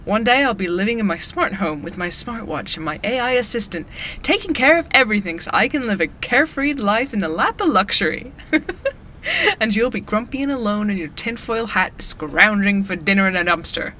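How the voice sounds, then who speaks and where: Young female barista, audio drama acted: teasing, gushing, very lively bright voice, noise behind. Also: mid-range voice, a little fast. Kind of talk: casual banter in audio drama.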